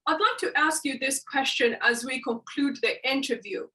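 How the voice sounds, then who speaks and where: A woman hosts a formal interview. Her voice is high and bright, and she sounds set back from the microphone as she reads out carefully worded questions. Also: normal speed, far from the mic. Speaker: interviewer, host.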